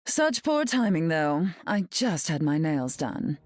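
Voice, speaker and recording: Slow, haughty, sardonic mutant queen. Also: sits low, sing-song, darkish tone, dry recording, exaggerated voice. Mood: cool, probing. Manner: haughty, drawling, dryly mocking. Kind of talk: video game character voice lines.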